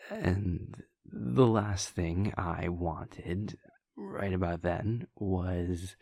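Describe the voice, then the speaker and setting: A man performs a wry, affectionate vampire prince in an intimate audio roleplay. His voice sits very low and he takes his time, speaking slowly and softly with casual hesitations. It is recorded close and dry, like a private chat with a partner. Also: dry recording, full character voice. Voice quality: low, creaky. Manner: hesitant, chuckling, conversational, soft-spoken. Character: playful male vampire prince, affectionate boyfriend, wryly reflective. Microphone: close, dry studio-style microphone.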